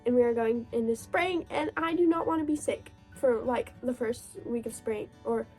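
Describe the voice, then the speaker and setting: A young woman chatting casually about books, her voice hoarse, gravelly and stuffed up from a cold, gushing and laughing often. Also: fairly high pitch, moderate pace, darkish tone, faint music behind, rough recording. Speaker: book vlogger. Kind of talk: casual reading wrap-up chat.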